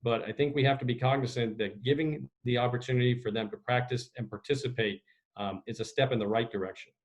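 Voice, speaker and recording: Measured, formal male official on a call. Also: medium pitch, fairly even intonation. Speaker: executive director of a school sports association answering questions. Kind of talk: media conference call answers. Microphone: video conference call.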